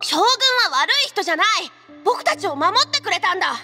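A woman's voice: very high-pitched, expressive, quick pace, bright, forceful tone, full character voice. A woman playing an innocent, trusting little boy in a Japanese game. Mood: innocent, curious. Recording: long reverb, music underneath.

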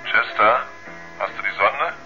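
A low-pitched, sceptical moon explorer, acted by a man, puzzled and quick to doubt. It is 1970s radio drama in a dull, muffled recording. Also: over music, natural voice. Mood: brisk, doubtful. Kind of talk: 1970s German radio play acting.

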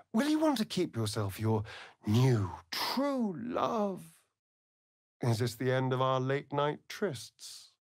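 An actor with a British accent playing a sardonic, vain elven vampire rogue in a fantasy video game, with an arch, drawling, mocking delivery. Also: male voice, medium pitch, breathy edge, no room sound, clean studio sound, full character voice.

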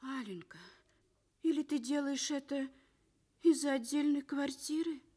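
A blunt, worried young friend in a Soviet radio drama. Her voice is high, and she draws her words out slowly and with concern. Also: dark tone. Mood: reproachful.

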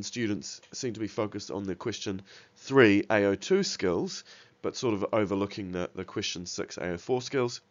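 A man's voice: fairly low pitch, muffled recording. A man with a cold and a somewhat nasal voice, giving an exam revision lesson over slides, with many ums and uhs. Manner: many fillers like um and uh, restarts. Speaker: teacher.

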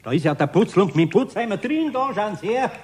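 An actor playing a good-natured, grumbling older master craftsman with a Bavarian accent in a 1970s German children's radio play, homely and gently chiding. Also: sits high, big pitch swings, fairly quick, slightly rough, full character voice. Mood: mildly exasperated.

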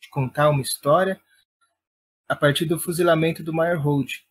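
A man's voice: a little high, fairly even intonation, normal speed, husky. A man talking casually and enthusiastically as an interview guest, in an informal way. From Brazil. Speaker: guest, playwright and theatre director.

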